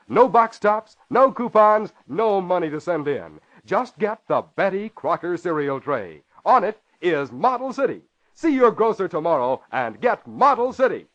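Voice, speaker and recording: Male vintage radio announcer, emphatic and excited, high and lively, on a dull old broadcast recording. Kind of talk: 1940s-50s radio announcing and advertising.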